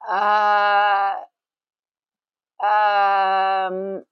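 A woman's voice: mid-range voice, clean tone, no echo, dull audio. A woman podcast co-host chatting casually, giggly and playfully exasperated. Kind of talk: casual chat.

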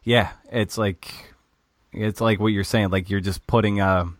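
A man hosting a podcast, asking questions in a halting, casual way. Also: lowish voice, fairly even intonation, no echo, studio quality. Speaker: podcast host.

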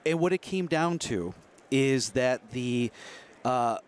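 A man from Wisconsin working as an assistant professor, talking through a workplace success story in a quick, explanatory way. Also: mid-range voice, animated. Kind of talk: guest interview.

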